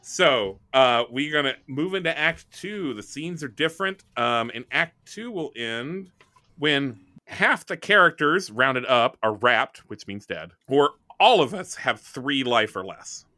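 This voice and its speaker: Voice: medium pitch, expressive, measured pace, sharp tone, dry recording, studio quality. A tabletop player chatting casually and explaining the rules of the game. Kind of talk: casual chat.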